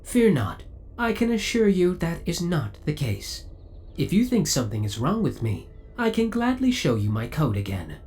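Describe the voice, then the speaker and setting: Spaceship AI , played by a man: formal, courteous, politely obsessive, with animated pitch swings, for an ASMR roleplay. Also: moderate pace. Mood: politely defensive. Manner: formal, courteous, precise servant-like delivery. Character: devoted, possessive male spaceship AI, politely obsessive.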